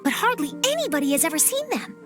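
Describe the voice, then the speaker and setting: An actress playing an upbeat, inquisitive young journalist in a video game cutscene. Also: female voice, high voice, very animated, fast, music in the background. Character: upbeat curious young woman reporter.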